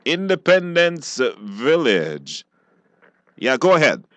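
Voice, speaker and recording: A man's voice: fairly high pitch, huge pitch swings, slow, a bit bright, no room sound. A man with a Belizean accent hosting a live radio call-in show and talking to guests and listeners.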